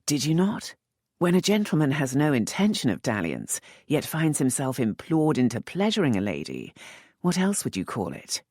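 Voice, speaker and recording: A woman narrator voicing a teasing, wry young earl in an audiobook, speaking dry and measured. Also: very deep voice, huge pitch swings, a little mellow, no echo, exaggerated voice.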